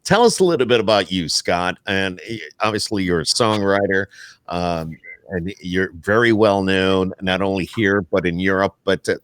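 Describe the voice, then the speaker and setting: A man's voice: low voice, normal speed, a bit bright, smooth, no echo. An American man hosting a podcast, chatting casually and putting questions to a guest. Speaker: podcast host. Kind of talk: casual interview chat.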